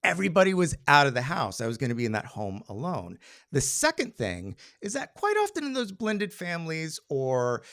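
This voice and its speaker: A man's voice: on the high side, wildly swooping pitch, moderate pace, a bit bright. A middle-aged American man telling a personal story live to an audience in a wry, conversational way, slipping into quoted dialogue. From Maryland, United States.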